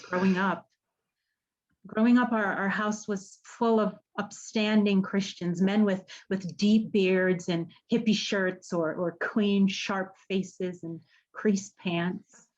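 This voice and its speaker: An actress playing an earnest, haunted woman in an audio drama, speaking haltingly and searching for her words. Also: medium pitch, steady tone, darkish tone, dull audio, natural voice. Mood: reflective, uneasy. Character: earnest, haunted woman running an apocalypse support group. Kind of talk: naturalistic podcast radio drama acting.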